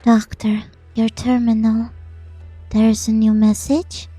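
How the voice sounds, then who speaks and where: A woman playing a quiet, soft-spoken, subdued young girl operative in a fan-dub drama. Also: fairly high pitch, little pitch movement, slow, breathy edge, no room sound, soft background music.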